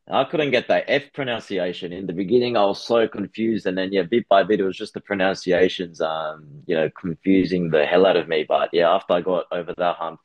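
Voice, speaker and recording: Low-voiced man, dull lo-fi audio. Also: darkish tone, dry recording. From Australia. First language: Japanese. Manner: hesitant, restarts phrases often. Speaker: guest. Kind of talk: casual interview over a call.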